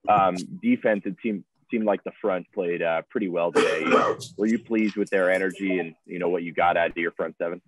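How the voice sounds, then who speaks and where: A man's voice: sits low, fairly quick, hardly any pauses, noisy background. A young man in his twenties, a reporter asking loose, filler-heavy questions over a video call. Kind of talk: press conference questions. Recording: tinny phone-like line.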